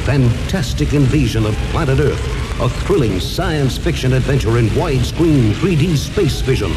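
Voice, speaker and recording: A male announcer gives dramatic, scripted trailer narration for old science fiction. His pitch is average, and loud music plays throughout.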